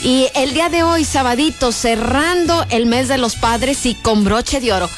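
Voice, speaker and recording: A woman hosting a radio interview show, with a warm, upbeat announcer delivery. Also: high voice, unhurried, music in the background. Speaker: radio host. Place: radio studio booth.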